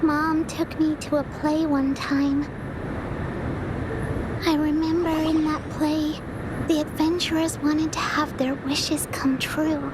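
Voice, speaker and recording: A woman's voice: high voice, level tone, takes it slowly, noisy background. A woman voicing a shy, wistful young girl in a video game, speaking in a hesitant, timid manner.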